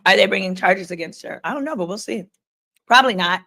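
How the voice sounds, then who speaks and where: A woman's voice: medium pitch, fast, gravelly, sharp tone. A woman hosting a casual livestream, giving informal, opinionated commentary and reading comments and updates aloud in a conversational way. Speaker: livestream host.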